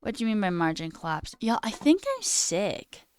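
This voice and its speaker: A woman's voice: mid-range voice, very animated, moderate pace, clean tone. A young woman with a slight sore throat, casually chatting on a live coding stream, reading chat aloud and muttering at her code. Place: small room indoors.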